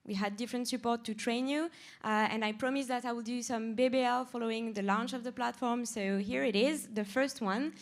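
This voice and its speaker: A woman presenting objectives to colleagues at a staff meeting, in a lively, urging way. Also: a little high, a little fast, few pauses.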